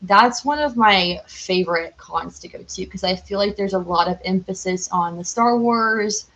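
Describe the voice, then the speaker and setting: A woman's voice: medium pitch, moderate pace, a bit bright, rough recording. Chatty, giggly young American woman. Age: young adult. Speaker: podcast guest, cosplayer. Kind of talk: casual chat.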